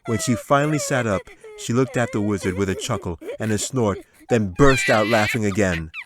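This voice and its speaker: A man's voice: fairly low pitch, wildly swooping pitch, normal speed, a crowd in the background. A man narrating a fantasy audiobook in a dramatic, scripted storytelling manner. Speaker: author reading his audiobook.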